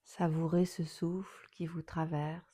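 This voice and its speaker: Slow, mellow woman guiding relaxation. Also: low voice, flat delivery. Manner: soothing, unhurried, phrases softly trailing into breathy near-whispers. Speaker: yoga nidra teacher. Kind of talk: guided relaxation meditation.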